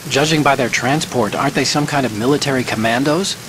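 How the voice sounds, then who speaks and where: An American actor playing an earnest, brainy young hacker in a video game, guiding an agent in an articulate, slightly fussy way. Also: male voice, medium pitch, fast, background noise, lightly acted. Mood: curious, analytical. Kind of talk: video game cutscene voice acting.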